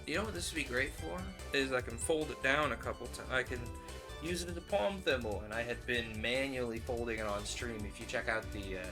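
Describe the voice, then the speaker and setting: A man's voice: medium pitch, a little fast. A man hosting a craft livestream, chatting casually and wryly, with playful acted-out asides. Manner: playful, wry, acts out asides. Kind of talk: casual chat while demonstrating hand stitching. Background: music.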